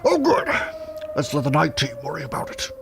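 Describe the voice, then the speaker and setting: Wildly animated, lazy guard man. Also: on the high side, fairly quick, a crowd in the background. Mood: dismissive. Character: dismissive, lazy male enemy guard. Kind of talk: audio adventure drama acting.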